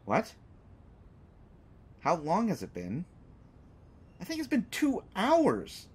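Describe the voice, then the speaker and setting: A man's voice: sits high, wildly swooping pitch, slow pace, slightly rough, exaggerated voice. A man playing a sarcastic, unafraid hostage who teases a villain in an audio drama, with exaggerated mock reactions. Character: sarcastic, unafraid male hostage taunting a lovesick supervillain. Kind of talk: scripted roleplay audio drama monologue.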